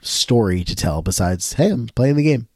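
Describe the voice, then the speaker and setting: A man co-hosting a podcast in casual recap chat, sounding stuffy and tired, talking in a relaxed way that often trails off quietly. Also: medium pitch, normal speed, dry recording, studio quality. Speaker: podcast co-host.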